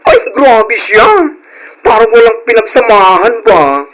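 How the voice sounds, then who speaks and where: A man's voice: sits high, lively intonation, measured pace, a bit bright, low-quality recording. A man playing a flamboyant, gossipy, teasing friend in an Ilocano radio drama, with campy exclamations. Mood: gleeful, teasing.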